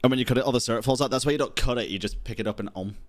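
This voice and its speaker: A man's voice: mid-range voice, racing. A man streaming casual game reactions with slangy, sweary banter.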